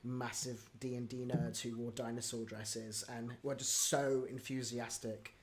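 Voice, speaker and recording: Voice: mid-range voice, level tone, unhurried. A host running a casual livestream Q&A over a video call, friendly and rambling with many ums. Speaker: audio drama producer, Q&A host.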